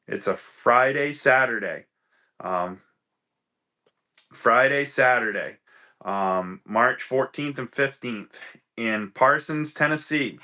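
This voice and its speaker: A man's voice: medium pitch, bright, forceful tone. A man hosting a casual livestream, greeting viewers by name, a little stuffy from a cold. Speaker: livestream host.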